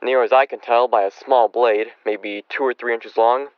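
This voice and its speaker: A man's voice: medium pitch, fairly even intonation, moderate pace, no room sound, natural voice. Man playing folksy old-West town doctor, dull sound. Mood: matter-of-fact. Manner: folksy, apologetic, clinical. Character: talkative, darkly flippant frontier town doctor. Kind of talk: old-time radio western drama acting.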